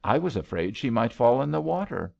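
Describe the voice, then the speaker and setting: A man voicing an earnest, tender young man in love for an audiobook, soft and wistful, in a slightly dull recording. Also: medium pitch, big pitch swings, brisk, creaky.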